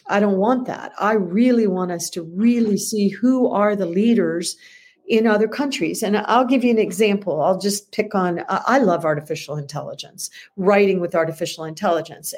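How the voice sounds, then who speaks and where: Casting: woman podcast co-host, husky tone, lively storyteller, talks things through out loud. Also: medium pitch. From United States. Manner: animated, self-questioning storytelling. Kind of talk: conversational podcast talk.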